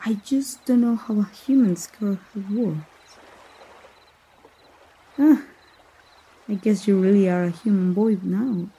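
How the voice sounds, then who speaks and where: A woman acting as a tender young princess in a romantic ASMR roleplay. Her voice is very breathy and soft, with a dark, mellow tone. She speaks slowly and haltingly, full of awe and love. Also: medium pitch, full character voice. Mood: affectionate, teasing. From Mexico. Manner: halting, emotive, with gasps and sighs. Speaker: voice actor.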